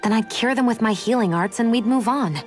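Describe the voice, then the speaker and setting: A woman's voice: fairly high pitch, brisk, natural voice. An actress playing an aloof, prickly young woman healer in a game, blunt and impatient in her delivery. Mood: defensive, impatient. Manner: curt, cool, impatient. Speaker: game character voice. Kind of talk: scripted English game voice acting. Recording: background music under dialogue.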